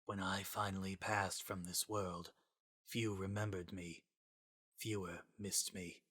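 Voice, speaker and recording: A man acting a hushed, solemn, regretful scientist. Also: low-pitched, little pitch movement, breathy edge, heavily acted. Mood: mournful. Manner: formal, measured, quiet, grave. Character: solemn, regretful male scientist, formal and resigned, lost to the world.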